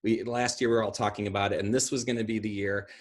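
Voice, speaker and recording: A man's voice: fairly low pitch, level tone, quick pace. A middle-aged man giving a conversational online talk from a room at home, rambling into asides. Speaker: guest speaker. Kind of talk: conversational online talk on film and pop culture. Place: small room at home, indoors. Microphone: video call, computer at arm's length.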